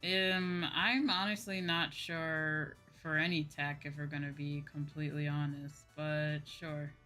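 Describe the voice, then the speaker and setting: A woman's voice: sits low, level tone, unhurried, pushed and bright, soft background music. A slightly sleepy woman hosting a livestream, chatting casually and informally with her viewers. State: a little sleepy. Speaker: livestream host, VTuber.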